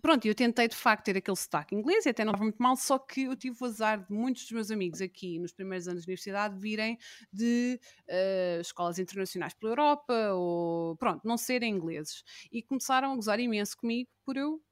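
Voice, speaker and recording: A woman's voice: mid-range voice, no echo, studio quality. A woman in her thirties with a Lisbon Portuguese accent, chatting casually and wryly as a podcast guest, with lots of fillers. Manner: wry, self-mocking, fast rambling with fillers. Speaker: guest reporter.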